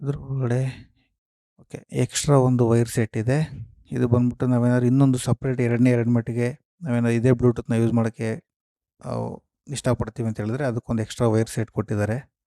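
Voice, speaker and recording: A man's voice: mid-range voice, flat delivery, fairly quick, long pauses, mellow, no echo, clean studio sound. A man hosting a casual unboxing, explaining things step by step with English terms mixed in. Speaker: host of a gear unboxing and review video. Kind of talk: casual product walkthrough.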